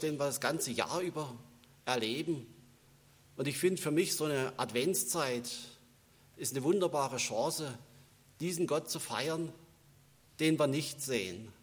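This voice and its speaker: A man's voice: mid-range voice, slightly rough. A man giving an Advent sermon in a church, talking in a conversational way and telling personal stories, with a German accent that has a northern standard touch. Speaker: preacher. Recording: long church reverb.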